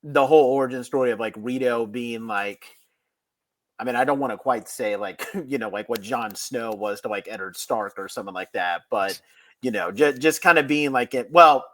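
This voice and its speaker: A man's voice: medium pitch, moderate pace, fairly bright tone. Male co-host, average register, conversational, circles around his point with sudden emphasis. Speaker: podcast host. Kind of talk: casual chat.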